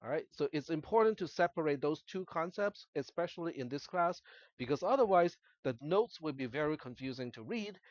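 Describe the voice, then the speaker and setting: A man's voice: fairly high pitch, animated, fairly quick, no room sound, highs cut off. A man who is a native Cantonese speaker lecturing to a class as an instructor, explaining step by step and often checking in with short tag questions. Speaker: college instructor. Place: classroom, screen-recorded lecture.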